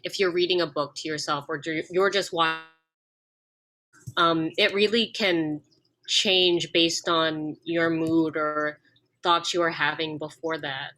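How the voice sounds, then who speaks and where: A woman with a low but bright, forward voice, answering a panel question in a casual way. She searches for her words as she goes and restarts her phrases often, with many fillers along the way. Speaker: panel guest, actor.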